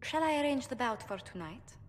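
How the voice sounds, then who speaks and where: A woman voicing a gracious court ambassador, smooth diplomatic manner, long reverb, video game. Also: a little high, animated, brisk, lightly acted. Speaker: game character.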